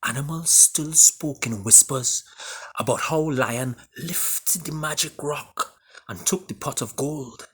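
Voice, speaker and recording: A man with a Trinidadian accent, an author and storyteller speaking theatrically, with booming emphasis and hushed drops. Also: takes it slowly, slightly rough. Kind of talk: dramatic storybook reading and introduction.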